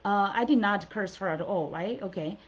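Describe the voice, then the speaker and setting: A woman's voice: medium pitch, moderate pace, husky. Middle-aged woman from china, mandarin is her first language, earnest motivational coach vibe, lots of fillers, kinda dull muffled audio. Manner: earnest, encouraging, many fillers and restarts. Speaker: coach and show host. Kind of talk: motivational solo talk.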